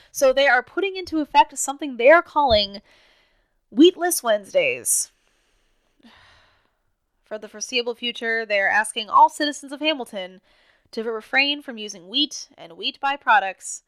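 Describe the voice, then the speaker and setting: An actress playing a rambling radio co-host in an audio drama, wary of authority and prone to arguing with herself. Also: female voice, on the high side, a bit bright. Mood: chatty, informative. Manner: impassioned, argues back and forth with herself.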